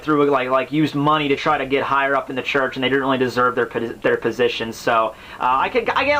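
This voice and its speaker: A man's voice: on the high side, bright, forceful tone, low-quality recording. A man giving casual, rambling commentary on a classic book as host, informal and slangy, with profanity and joking asides.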